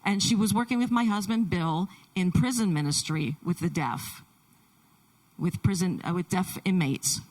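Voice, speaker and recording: A woman pastor introducing and interviewing a guest at a church service, speaking in short, deliberate phrases. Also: lowish voice, darkish tone, far from the mic, low-quality recording. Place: church sanctuary.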